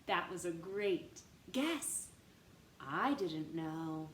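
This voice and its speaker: A woman reading a story aloud to children in an animated, teacher-like way, stressing words and posing questions. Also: lowish voice, extremely slow. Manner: expressive teacher-like delivery, dramatic gasps and emphasis. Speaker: storytime reader. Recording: roomy echo with faint background noise.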